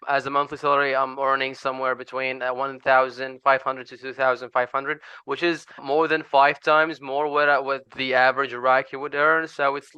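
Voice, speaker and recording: Young iraqi-born guy, med student, soft and halting, smooth bright voice, dull dry lo-fi audio. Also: mid-range voice, level tone, no room sound. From Iraq. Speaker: medical student and designer.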